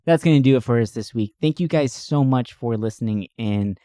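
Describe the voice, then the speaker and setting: A man's voice: mid-range voice, brisk, background noise. A man hosting a podcast, chatting casually and informally about entertainment, with loose, slangy phrasing. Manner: informal, frequent "like" and "you know", occasional swearing. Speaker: podcast host. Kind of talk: casual podcast chat about movies and games.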